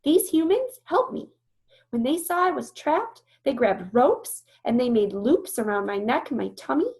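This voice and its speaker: A woman's voice: fairly high pitch, big pitch swings, a little slow, a little mellow, voice pushed up. A woman voicing an eager, earnest young female baby elephant in a read-aloud storybook, telling things in an emphatic, childlike way. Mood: excited, insistent.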